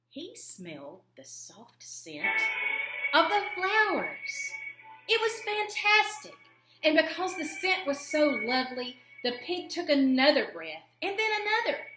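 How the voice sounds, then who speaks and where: A woman narrating a children's story expressively, with dramatic emphasis and pauses. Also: high-pitched, bright, forceful tone, echoey room, poor audio. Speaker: story reader.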